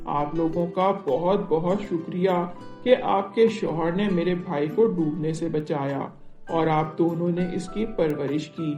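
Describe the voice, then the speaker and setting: Storybook prince, played by a woman: young, gracious, earnest. Slow, formal delivery, echoing over soft music. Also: fairly low pitch, fairly even intonation, lo-fi sound, pitched below the performer's own voice, natural voice. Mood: grateful, earnest. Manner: formal, courteous, measured. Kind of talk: acted dialogue in an Urdu moral storybook tale. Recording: roomy echo, music underneath.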